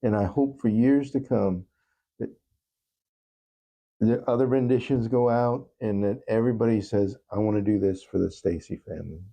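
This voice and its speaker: A man's voice: lowish voice, gravelly, dark tone, slightly rough, low-quality recording. A man talking earnestly and conversationally as an interview guest and musician.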